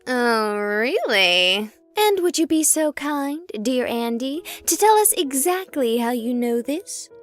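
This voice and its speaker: A woman playing an excitable, chatty young streamer exploring a haunted place in a visual novel, with an expressive, playful delivery. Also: high-pitched, slow, faint music behind, full character voice. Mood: curious, playful.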